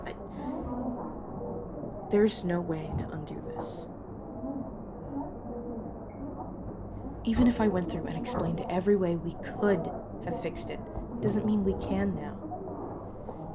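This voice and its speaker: A woman's voice: lowish voice, close to an everyday voice. A woman playing a weary, apologetic supernatural agent in an audio roleplay, explaining things patiently with hesitant, casual asides. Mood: regretful, gentle. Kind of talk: narrative audio roleplay acting, addressed to listener. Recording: clearly noisy, background ambience effects.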